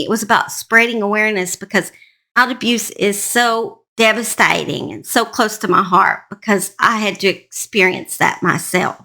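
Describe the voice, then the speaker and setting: A woman's voice: medium pitch, bright, forceful tone. A woman with a Southern US accent, earnestly narrating true crime stories as an online host. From Southern United States. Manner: earnest, serious.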